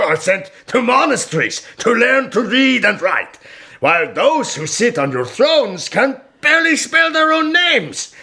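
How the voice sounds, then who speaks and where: An actor playing a shrewd, imperious medieval sultan in a historical radio drama, measured and questioning. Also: male voice, sits high, vocal fry, sharp tone, exaggerated voice. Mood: wry, superior.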